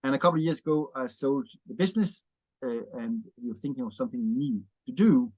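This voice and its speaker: A middle-pitched man in his fifties speaks English with a Danish accent. His talk rambles easily, with many "uh"s and "yeah"s tagged onto the end of his sentences. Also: fairly quick, a little mellow, rough recording. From Denmark. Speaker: interview guest, wine bar owner. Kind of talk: casual interview chat.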